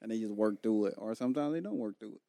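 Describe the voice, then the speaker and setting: A man's voice: fairly low pitch, lively intonation, rapid-fire, creaky, dark tone, no room sound. Laid-back young man, dry close sound. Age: 20s. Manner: laid-back, joking, brief replies. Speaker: podcast co-host. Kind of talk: casual podcast chat.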